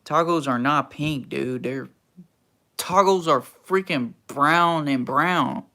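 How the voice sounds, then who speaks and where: A man's voice: a little high, slow pace, bright, forceful tone. A young man from Texas, hosting a casual livestream and answering chat in a laid-back, slangy, teasing way. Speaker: livestream host reading chat.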